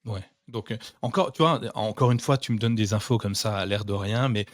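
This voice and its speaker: A man's voice: on the low side, fairly quick, no room sound, clean studio sound. A man hosting a podcast, asking questions informally with many fillers and restarts. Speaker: podcast host. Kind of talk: casual interview conversation.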